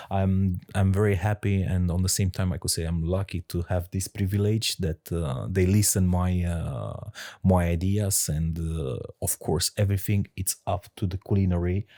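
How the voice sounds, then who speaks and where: Low-voiced man, halting with fillers. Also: dark tone. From Romania. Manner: halting, many fillers, laughs often. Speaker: head sommelier, guest. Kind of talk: casual interview talk.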